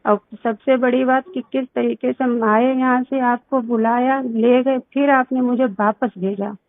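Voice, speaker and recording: Woman caller, high voice, insistent and reproachful in a private argument, tinny phone line. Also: steady tone, a little slow, no echo. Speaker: caller on a recorded phone call. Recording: tinny lo-fi phone call recording.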